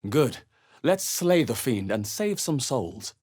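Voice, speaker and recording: Husky, expressive male adventurer. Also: mid-range voice, takes it slowly. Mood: wry, determined. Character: adventurer hero, male, watchful, wry, muttering observations while exploring. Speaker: player character in a fantasy role-playing game. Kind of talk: video game voice acting.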